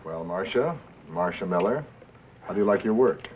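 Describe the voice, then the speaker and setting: An actor playing a wry, guarded, quietly troubled radio reporter in a 1950s film drama, with dry, understated, clipped replies. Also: male voice, low voice, expressive, moderate pace. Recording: tinny, noisy 1950s film soundtrack.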